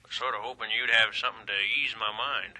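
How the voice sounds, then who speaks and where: A man's voice: on the low side, steady tone, brisk, sharp tone, poor audio. A man acting a folksy, doubtful ranger's friend in an old radio adventure, with hesitant, plain-spoken drawling phrasing. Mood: uneasy, musing.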